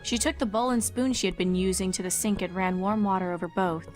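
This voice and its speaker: A woman's voice: mid-range voice, brisk. Woman narrating a story over music. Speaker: fanfic narrator.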